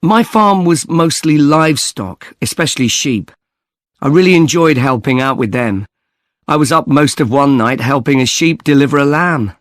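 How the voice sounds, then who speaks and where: Man playing an earnest, higher-voiced young male student. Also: lively intonation, moderate pace, dry recording, studio quality, natural voice. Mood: earnest, chatty. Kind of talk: scripted listening-test dialogue.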